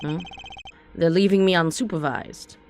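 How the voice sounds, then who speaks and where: A woman's voice: on the low side, fairly even intonation, moderate pace, darkish tone, faint music behind, natural voice. A woman reading a composed jailed prosecutor. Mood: reflective. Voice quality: lightly raspy.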